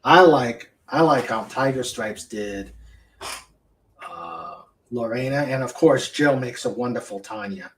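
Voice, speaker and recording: A man hosts a relaxed online chat, talking slowly and pausing often to find his words. His pitch sits at an ordinary middle for a man. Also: low-quality recording. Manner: slow, halting, with many fillers. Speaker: host. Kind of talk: casual livestream chat.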